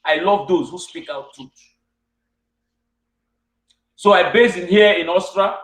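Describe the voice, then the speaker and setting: A man with a West African accent hosts an interview in a fairly high voice. He speaks earnestly in declarative, repeated phrases. The sound is roomy and echoing, as if he sits some way from the microphone. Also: slow, a bit bright. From Cameroon. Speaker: interviewer and host. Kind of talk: earnest monologue and interview questions. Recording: distant, echoey room sound.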